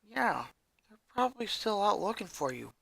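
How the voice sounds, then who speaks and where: A story reader voicing a blunt but secretly caring young girl, with hushed, questioning delivery. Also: mid-range voice, very animated, moderate pace, vocal fry, breathy edge, no room sound, exaggerated voice, rough recording. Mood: hushed concern.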